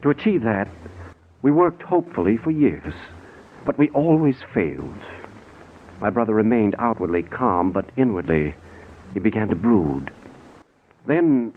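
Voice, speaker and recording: A man's voice: fairly low pitch, very animated, unhurried, pauses often. An actor playing an earnest, frantic middle-aged man pleading to be believed, in dramatic 1940s radio style. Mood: earnest, brooding. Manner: urgent, dramatic, theatrical. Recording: scratchy narrow-band 1940s radio recording.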